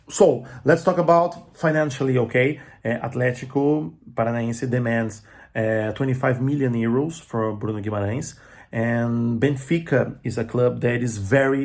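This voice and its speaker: Gravelly Brazilian man, hesitant English. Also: medium pitch. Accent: Brazilian Portuguese. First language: Portuguese. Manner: hesitant, many fillers and restarts, repeats "okay". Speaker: guest club channel presenter. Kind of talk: casual recorded message.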